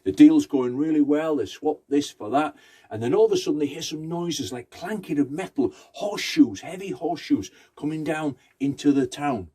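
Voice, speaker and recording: A man's voice: a little high, moderate pace, warm tone, breathy edge. A man telling a folktale conversationally, in short phrases with rhythmic repetition. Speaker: Romani storyteller. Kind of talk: oral folktale storytelling.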